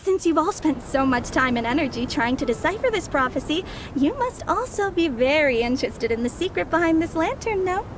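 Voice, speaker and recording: High-voiced, sly young schemer. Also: female voice, lively intonation, a little fast, few pauses, background noise. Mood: poised, mysterious. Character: sly, poised young female outlander schemer. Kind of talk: English video game voice acting.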